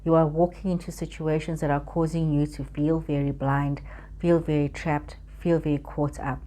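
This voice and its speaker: Casting note: female tarot reader, low register, soft dark tone, speaks directly to the listener in halting, repetitive phrases. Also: little pitch movement. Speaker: tarot reader hosting her own channel. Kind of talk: semi-improvised spiritual reading addressed to the viewer.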